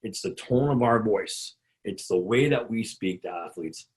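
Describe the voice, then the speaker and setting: A man with a gravelly voice, a hockey conditioning coach giving an instructional talk to other coaches, rambling with repeated words and restarts. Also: lowish voice, lively intonation, unhurried.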